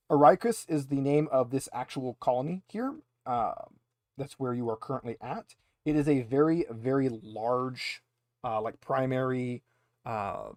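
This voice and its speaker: A man's voice: mid-range voice, no room sound, studio quality. A man running a tabletop roleplaying game, narrating conversationally with wry asides. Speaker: game master.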